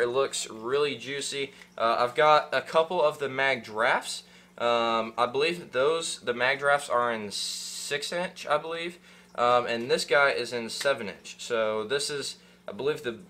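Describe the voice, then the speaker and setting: A man's voice: medium pitch, bright, forceful tone, slight background noise. A young man hosting a fishing channel, unboxing gear in casual chat with lots of ums and uhs, seated in a small room. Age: young adult. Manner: rambling, many ums and uhs, restarts.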